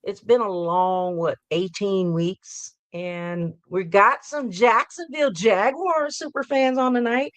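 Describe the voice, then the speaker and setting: A hoarse woman hosting a live sports fan talk show, upbeat and rambling, repeating her hype lines. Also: medium pitch, slow, few pauses, no room sound. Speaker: host.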